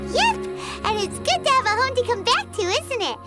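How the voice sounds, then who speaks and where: A tiny fairy sidekick for a video game, squeaky and extremely high-pitched. The childlike voice swings up and down in a lively, curious way, lingering over words, with music strong behind it. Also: female voice, a little slow, exaggerated voice. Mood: chatty, wistful. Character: tiny chatty childlike female fairy companion, curious, food-loving. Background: prominent game music.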